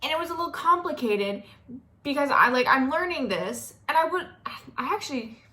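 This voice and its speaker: A woman's voice: sits high, lively intonation, normal speed, sharp tone. A young American woman talking casually to camera in a rambling, chatty way, full of "like" and restarts. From United States. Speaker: vlogger. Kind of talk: casual talking-head vlog.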